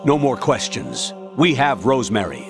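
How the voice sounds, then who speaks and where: A man's voice: medium pitch, big pitch swings, moderate pace. An actor playing a stern older male military colonel in a video game, commanding and formal but eerily erratic. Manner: commanding, clipped, formal, sliding into rambling non sequiturs. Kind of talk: dramatic video game voice acting. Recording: music behind the voice. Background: loud music.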